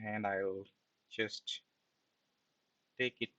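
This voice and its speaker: A man's voice: fairly low pitch, fairly even intonation, extremely slow, pushed and bright, no room sound, dull audio. A man giving an informal screen-share programming tutorial as an instructor, explaining step by step and often checking in with a short tag question.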